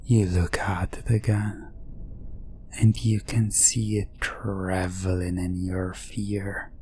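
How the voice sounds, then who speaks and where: A man narrating a hypnotic ASMR story in the second person, breathy and often whispering, with long pauses and repeated phrases. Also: low voice, level tone, darkish tone, a little hiss or hum.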